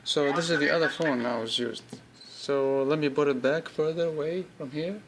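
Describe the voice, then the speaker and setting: A man giving an informal, unscripted product demonstration, speaking haltingly in short pieced-together bursts. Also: on the high side, a bit bright, a little hiss or hum, low-quality recording. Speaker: app demonstrator.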